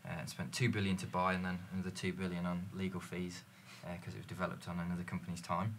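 A man's voice: deep voice, completely flat delivery, fast talker, fairly bright tone, slight background noise. A man in a panel discussion, explaining fluently and telling stories from his business, recorded in a dim studio lounge. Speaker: company representative.

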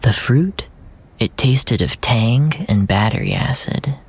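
A narrator reading scripted first-person fiction in a measured, literary storytelling manner. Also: mid-range voice, expressive, husky. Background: music.

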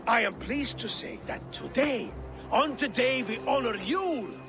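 Theatrical high-pitched Norse lord. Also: male voice, expressive, measured pace, gravelly, over music, exaggerated voice. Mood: grandiose. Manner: theatrical, grandiose orator. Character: scheming pompous middle-aged Norse lord, secret zealot. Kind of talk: video game cutscene acting.

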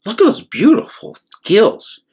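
A man telling a children's bedtime story in an expressive, deliberate way, with little asides to listeners. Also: sits high, slightly rough. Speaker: priest reading a storybook.

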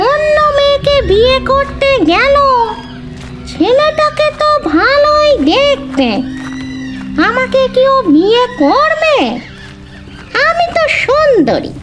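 A woman playing a lonely, lovesick female ghost in a comedy cartoon, pleading and scheming in a drawn-out sing-song. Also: very high voice, exaggerated voice. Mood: excited, longing. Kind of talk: Bengali comedy cartoon voice acting.

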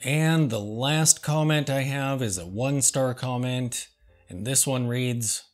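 Man, deadpan comedic host, sarcastic, unhurried drawl, average pitch, faint background music. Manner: dry, sarcastic, slow and deliberate. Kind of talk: deadpan comedic commentary reading reviews.

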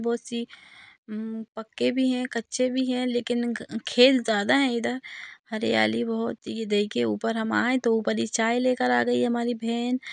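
A woman's voice: a little high, vocal fry, no echo. A woman, narrating a family vlog in a casual way. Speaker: vlogger.

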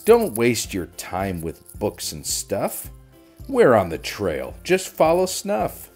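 Man playing a blustery, animated papa bear. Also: fairly low pitch, slow pace, soft background music, exaggerated voice. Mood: dismissive, boastful. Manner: boastful, emphatic.

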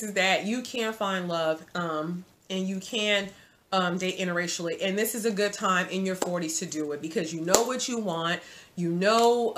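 A woman in her forties giving casual dating advice to viewers from her home, chatty and animated, calling the viewer sis. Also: medium pitch. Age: 40s. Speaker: vlogger. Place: home room, indoors.

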